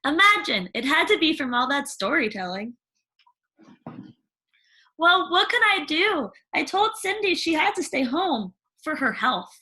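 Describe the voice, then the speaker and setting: Woman as a high-voiced, fussy storybook stepmother. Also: very animated, measured pace, bright, forceful tone, full character voice. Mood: self-righteous. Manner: exasperated, prim. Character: vain, impatient, fussy stepmother, falsely sweet. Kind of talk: children's storybook character voice.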